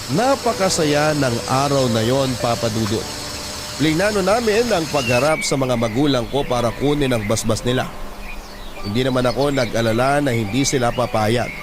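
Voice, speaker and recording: A man's voice: medium pitch, animated, moderate pace, noisy background. A man with a Filipino accent reading a letter as scripted radio drama narration. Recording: music behind the voice.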